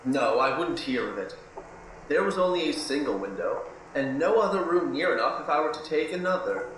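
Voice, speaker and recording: A man's voice: a little high, expressive, normal speed, fairly bright tone. A man playing a controlling, condescending husband in a radio play, speaking in a formal, admonishing way. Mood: patronizing reproach. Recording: very echoey room, faint noise.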